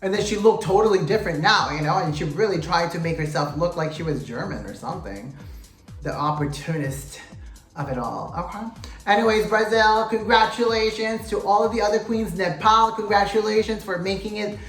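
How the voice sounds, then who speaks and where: A chatty pageant vlogger dishes on the results with plenty of sass and gossip, talking straight to the viewers. The voice sits at a middling pitch and bounces off a roomy indoor space, with faint music underneath. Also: a little fast, fairly bright tone. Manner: sassy, gossipy, addresses viewers as "you guys" and "queens". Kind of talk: casual recap commentary to camera.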